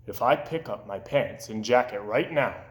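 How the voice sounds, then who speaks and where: A man's voice: mid-range voice, animated, normal speed, voice pushed down, lightly acted. A man voicing a grumpy, gloomy toad in a children's storybook, grumbling and sulky, in a roomy echo. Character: grumpy, gloomy toad who puts off chores. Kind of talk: children's storybook read-aloud.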